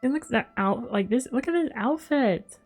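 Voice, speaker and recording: A woman's voice: fairly high pitch, lively intonation, moderate pace, darkish tone, soft background music. A young adult woman livestreaming a game, chatting casually and reacting as she plays.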